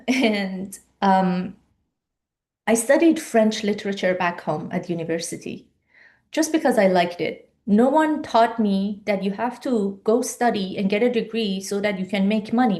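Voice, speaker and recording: A woman's voice: mid-range voice, mellow. Earnest woman coach. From Iran. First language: Persian. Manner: earnest, urging, rhetorical questions. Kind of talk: live online coaching talk.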